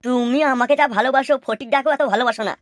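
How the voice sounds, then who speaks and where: Woman acting rapid-talking eager cartoon young man. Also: on the high side, steady tone, lightly acted. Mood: pleading. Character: eager, devoted young male admirer of married woman. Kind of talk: cartoon comedy dialogue. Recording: clear music under the voice. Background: music.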